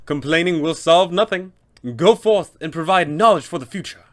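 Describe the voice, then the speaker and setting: A man's voice: on the high side, normal speed, pitched above the performer's own voice, close to an everyday voice. A man voicing an officious, polite, fussy undead tomb custodian with formal announcer phrasing, as a tabletop villain. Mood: fascinated, fussy.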